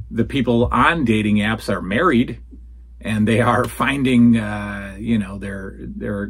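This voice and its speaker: A man's voice: medium pitch, breathy edge. A man in his forties hosting a solo show, talking casually and rambling from a home den, calling his listeners folks. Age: 40s. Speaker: podcast host answering listener questions. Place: home den full of retro toys and posters.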